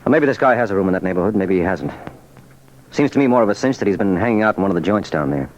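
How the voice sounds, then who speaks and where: An actor playing a cool undercover police sergeant in a radio crime drama, terse and businesslike. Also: male voice, deep voice, expressive, very fast. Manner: terse, businesslike, hard-boiled. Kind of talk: 1940s radio drama acting. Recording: muffled, faintly noisy old radio recording.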